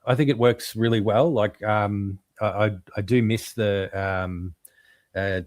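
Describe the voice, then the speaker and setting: A male podcast co-host chatting casually about comics, halting and full of ums and restarts but enthusiastic. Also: lowish voice, fairly even intonation, a little mellow, no room sound, studio quality.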